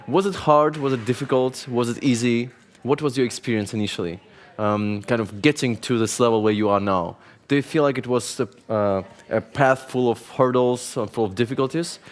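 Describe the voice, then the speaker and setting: A middle-aged man moderating a conference panel, chatty and self-deprecating, asking long strings of questions. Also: mid-range voice. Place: conference stage.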